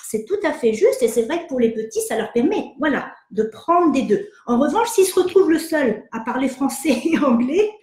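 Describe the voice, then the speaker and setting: A woman psychologist from France hosting an online session, answering viewer questions in a hesitant, gentle way with many fillers and restarts. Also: sits high, expressive, moderate pace, few pauses, echoey room. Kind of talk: live webinar, reading and answering viewer questions.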